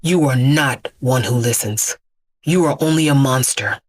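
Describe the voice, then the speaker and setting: A woman's voice: a bass voice, unhurried. A woman voicing an anguished otherworldly male being who makes solemn, accusing pronouncements in an audio drama. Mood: cold condemnation.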